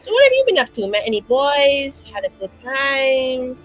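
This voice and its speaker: Chatty, rambling woman player, lively pitch. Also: moderate pace, faint music behind, rough recording. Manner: rambling, chatty, filler-heavy with frequent "like". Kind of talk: in-character roleplay.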